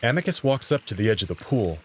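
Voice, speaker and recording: A man reading scripted, expressive first-person narration as the narrator of a visual novel. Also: mid-range voice, quick pace, husky.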